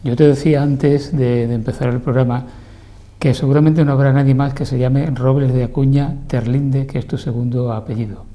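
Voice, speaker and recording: An older man hosts a TV interview show and guides the talk in a courteous, leisurely way. His voice is very dark and mellow, set at a middle pitch. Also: steady tone, moderate pace. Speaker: TV program host. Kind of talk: interview host chat. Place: TV studio.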